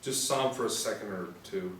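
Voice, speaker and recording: A guarded, uneasy young male student witness, read by a man at a table read. He speaks hesitantly at a middle pitch. The room is echoey, the mic is distant and there is faint noise. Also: a little fast, a bit bright, natural voice. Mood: uneasy, reluctant. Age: young adult. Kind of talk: scripted table read of a TV pilot. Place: small indoor room, seated reading.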